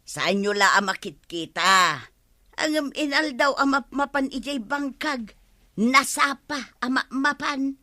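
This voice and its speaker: A woman's voice: sits high, expressive, slow pace, sharp tone, no echo, clean studio sound, heavily acted. A woman with an Ilocano accent playing an eager, chatty village gossip in a radio comedy drama. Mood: conspiratorial.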